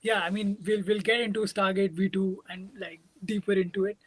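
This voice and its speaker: A man's voice: high-pitched, fairly even intonation, brisk, slightly rough, dry recording. A man hosting a casual interview, peppering his questions with "like". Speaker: podcast host, interviewer.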